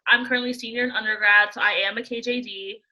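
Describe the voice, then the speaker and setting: A woman in her twenties from Queens, introducing herself friendly and brisk. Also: a little high, fairly even intonation, highs cut off. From Queens, New York City.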